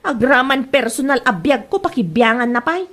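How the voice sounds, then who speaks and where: Woman acting a high-pitched, animated, spiteful daughter. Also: normal speed. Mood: defiant. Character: feisty spiteful grown daughter feuding with a neighbour. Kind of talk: comedy audio drama acting.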